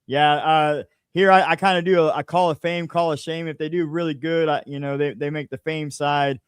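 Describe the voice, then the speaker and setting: A man's voice: fairly high pitch, steady tone, moderate pace, smooth, no room sound, clean studio sound. A man from a small American town hosting a casual sports interview, with folksy and enthusiastic reactions. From small town in the United States. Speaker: interviewer, show host.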